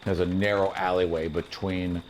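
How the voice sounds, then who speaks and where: A man's voice: sits low, little pitch movement, normal speed, a little hiss or hum. A man improvising narration as game master of a tabletop fantasy game.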